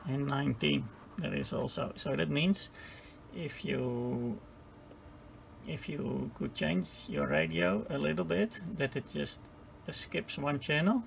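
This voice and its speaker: A man giving an informal hands-on technical tutorial, speaking haltingly as he searches for words. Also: mid-range voice, lively intonation, vocal fry, slight background noise. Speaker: presenter of a radio repair tutorial.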